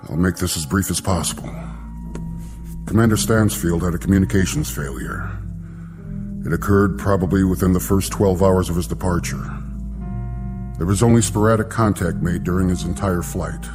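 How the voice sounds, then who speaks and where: An actor playing a grave, compassionate space-program official in a formal, measured vintage television drama manner. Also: male voice, sits low, fairly even intonation, close to an everyday voice. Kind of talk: mid-century television drama acting. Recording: vintage soundtrack with loud music behind. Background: dramatic music.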